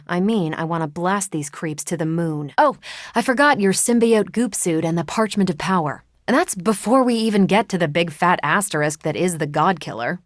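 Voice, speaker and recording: A woman's voice: fairly low pitch, no echo, studio quality, close to an everyday voice. A woman voicing a confident, wry, brash military superhero in casual banter for a video game.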